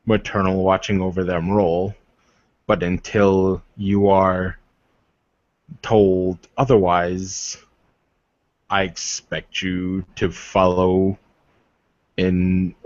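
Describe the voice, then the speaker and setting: A man acts out a stern, commanding werewolf warrior for a tabletop roleplaying game. He speaks very slowly and formally in a lowish voice. The sound is echoey and somewhat dull. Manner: slow, measured, commanding, formal. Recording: muffled, echoey room recording.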